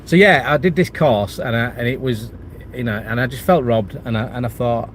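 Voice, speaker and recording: A man's voice: mid-range voice, moderate pace. Guy with a cold, rambling live chat, bit of traffic noise behind. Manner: rambling, many restarts and fillers, candid. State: has a cold and chest infection. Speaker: property channel host. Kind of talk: casual livestream chat reading viewer comments.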